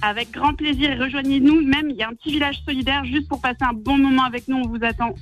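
A woman guest on a morning radio show, talking upbeat and quick about a charity event, with many fillers. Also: high voice, steady tone, soft background music. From Mexican heritage, raised in France. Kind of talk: radio interview, casual.

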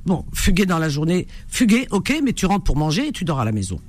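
A woman's voice: low voice, big pitch swings, brisk, a little mellow. A woman hosting a call-in radio show, outspoken and spontaneous, bantering freely with callers.

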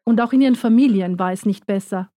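Woman, documentary voice-over, earnest, measured, warm tone. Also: medium pitch. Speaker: voice-over speaker. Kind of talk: first-person documentary voice-over.

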